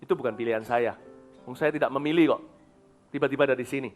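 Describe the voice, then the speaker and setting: A middle-aged man from Indonesia preaching a sermon as pastor, emphatic, moving between loud declarations and soft, confiding asides, now and then dropping English phrases. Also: mid-range voice, fast, soft background music.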